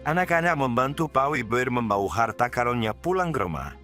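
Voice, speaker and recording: A man's voice: medium pitch, expressive, a little fast, fairly bright tone, soft background music, lightly acted. A man narrating a children's cartoon in a scripted storybook style. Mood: storytelling.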